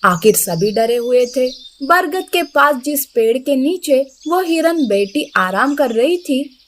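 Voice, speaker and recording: A woman's voice: high-pitched, animated, slow pace, a bit bright, a little hiss or hum. A woman narrating a scripted moral story for children. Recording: birdsong and ambience behind the voice.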